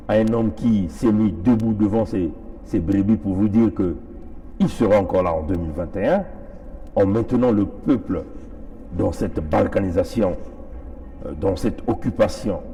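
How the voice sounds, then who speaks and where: A man from Congo-Brazzaville speaks with a Congolese French accent in a lowish, very mellow voice. His pitch moves widely as he delivers an impassioned political address. He is emphatic, repeating phrases to drive them home as an activist would. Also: animated, a little slow, pauses often.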